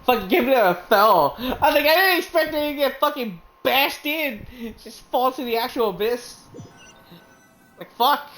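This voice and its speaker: A woman's voice: high voice, animated, normal speed, a bit bright, low-quality recording. Sweary woman streamer over game music. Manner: sweary, sardonic, talks back to game characters. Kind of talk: casual live-stream commentary.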